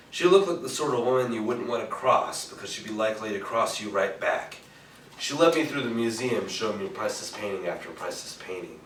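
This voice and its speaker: An actor playing a cool, wry private detective narrating a 1940s-style radio noir on stage, in an echoey room from afar. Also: male voice, fairly low pitch, a bit bright. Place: theatre stage, recorded from a distance. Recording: echoey stage, distant mic, faint noise.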